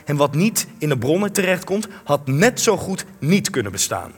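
Radio play: earnest, defensive male researcher, husky voice, reverberant sound. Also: fairly high pitch, sing-song, normal speed. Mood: earnest, pleading. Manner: earnest, argumentative. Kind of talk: Dutch radio play acting. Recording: long reverb, music underneath.

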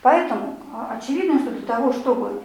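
A woman's voice: a little high, lively intonation, brisk, gravelly, darkish tone. A woman giving a lecture and answering questions, talking in an explanatory, conversational way with many fillers. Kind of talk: lecture with audience discussion. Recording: echoey room, voice from far off.

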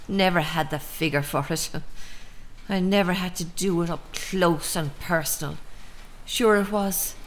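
A middle-aged Irish woman playing an ordinary mother with a secret life as an assassin, plain-spoken and wry, in an audio drama. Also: fairly low pitch.

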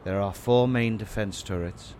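A man's voice: fairly low pitch, moderate pace, a little mellow, slight background noise, natural voice. A man acting as a proud, touchy starship engineer with a self-satisfied, showy way of talking, in a sci-fi audio drama. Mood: proud, boastful. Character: boastful, touchy male starship lead engineer.

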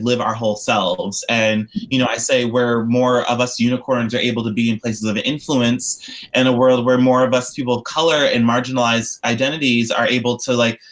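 A young man from the United States, talking about his activist work in an interview, earnest and rambling with lots of fillers and restarts. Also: mid-range voice. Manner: earnest and expressive. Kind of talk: casual interview conversation.

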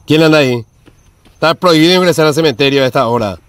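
A man's voice: fairly high pitch, quick pace, clean tone, dry recording, close to an everyday voice. An actor playing a male cemetery night watchman in an amateur radio drama. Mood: alarmed, challenging. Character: Agustín, male cemetery night watchman, nonchalant, then frightened. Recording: noisy track with night insect ambience.

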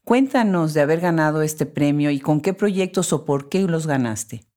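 A woman's voice: deep voice, expressive, normal speed, dark tone. A woman hosting a conversational interview, responding to her guest in an appreciative, encouraging way. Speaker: interviewer, host.

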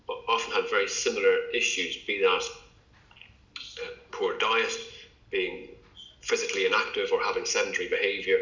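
A man's voice: deep voice, pushed and bright. Hesitant man on an echoey call. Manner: hesitant, many fillers and restarts. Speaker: podcast guest, GP. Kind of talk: casual interview talk. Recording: echoey, dull lo-fi sound.

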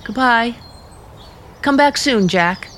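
A woman's voice: fairly high pitch, expressive, slow, a bit bright, a little hiss or hum. An actress playing an earnest, troubled young American small-town woman with restrained resolve, in vintage radio drama style. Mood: wistful.

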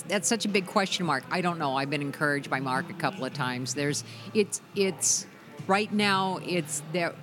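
A middle-aged woman with a deep voice, a musician guest chatting loosely in an interview, full of restarts and "you know". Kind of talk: casual interview chat.

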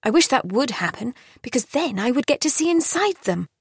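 A woman's voice: high voice, very animated, brisk, husky, dry recording, studio quality, pitched above the performer's own voice, heavily acted. A woman voicing a sulky young princess in an audiobook, petulant and glum.

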